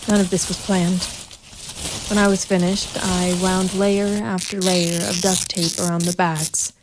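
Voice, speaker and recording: An actress playing a grim, vengeful female private investigator, narrating a noir audio drama in a hardboiled, deliberate, brooding manner. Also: fairly low pitch, level tone, noisy background, lightly acted. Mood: cold, controlled.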